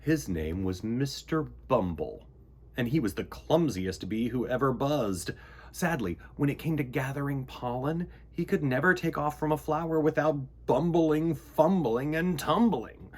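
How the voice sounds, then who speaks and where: A man reading a children's picture book aloud in an expressive, theatrical storytelling manner. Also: medium pitch, unhurried.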